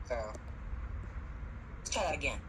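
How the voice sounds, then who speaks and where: Young man chatting over loud noise. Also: unhurried. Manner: slangy, informal. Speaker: hobbyist unboxing weather radios. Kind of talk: casual chat. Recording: loud steady background noise.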